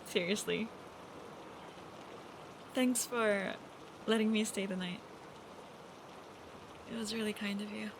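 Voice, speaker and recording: A young woman acting an affectionate, teasing best friend who is nervously smitten, in a soft, hesitant, intimate roleplay style with rain behind her. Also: medium pitch, takes it slowly, gravelly, pauses often, background noise. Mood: fond, amused. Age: young adult. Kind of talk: intimate roleplay audio acting, girlfriend-experience monologue. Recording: steady rain sound effects behind voice.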